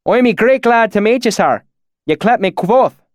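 A genial young country lad, acted by a male storybook narrator, chats in a folksy rural dialect. His voice is raised a little and very animated, in a dry recording. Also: sits high, normal speed, no echo, pitched above the performer's own voice. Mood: friendly. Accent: put-on rural dialect. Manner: folksy, friendly, chatty.

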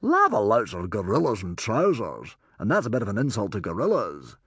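A man's voice: medium pitch, big pitch swings, moderate pace, fairly bright tone, no room sound. A man voicing a self-important middle-aged Viking hero, breezy, protesting and easily exasperated, in a storybook. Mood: indignant.

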